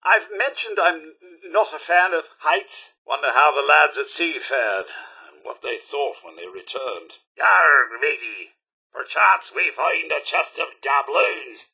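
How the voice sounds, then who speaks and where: Robot butler, British accent, bright forceful tone, very animated, polite, theatrical, old-fashioned, video game companion. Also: male voice, on the high side, slow, gravelly, slightly rough, full character voice. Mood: chipper, mildly anxious, then playful pirate impression. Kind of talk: scripted game voice lines.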